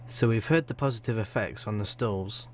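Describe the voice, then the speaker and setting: A man's voice: lowish voice, moderate pace, a little hiss or hum. A man reading a scripted voice-over as a reporter for a local newsreel. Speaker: news reporter narrating voice-over.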